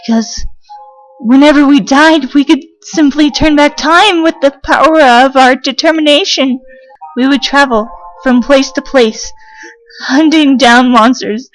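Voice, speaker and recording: An earnest child played by a woman speaks in a high voice, slowly and solemnly, pausing between phrases. Music runs underneath the dramatic fan dub. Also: low-quality recording. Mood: solemn confession.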